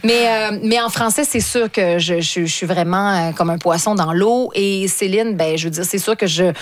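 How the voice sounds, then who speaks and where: Québécois woman chatting with many restarts. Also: medium pitch. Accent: Québécois French. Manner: lively, halting with many restarts and fillers. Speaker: performer being interviewed. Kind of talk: radio interview.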